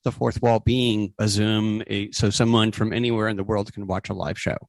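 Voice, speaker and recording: A man's voice: fairly low pitch, fairly even intonation, normal speed, gravelly, dry recording. A man hosting a community podcast, speaking as a presenter. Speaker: podcast host. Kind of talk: scripted podcast narration and interview hosting.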